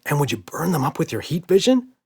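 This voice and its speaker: A man does an excitable little girl in a children's storybook. The voice is pushed up high and jumps around. She squeals and pleads with great eagerness. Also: fairly quick, gravelly, slightly rough, heavily acted. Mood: excited. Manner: squealing, wheedling.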